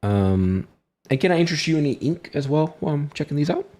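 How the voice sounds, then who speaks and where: A man's voice: on the high side, lively intonation, fast talker, mellow, voice pushed up, natural voice. A man voicing a polite, eager male shopkeeper who speaks in a welcoming, slightly hesitant sales patter in a tabletop game. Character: eager, polite human male paper shop keeper.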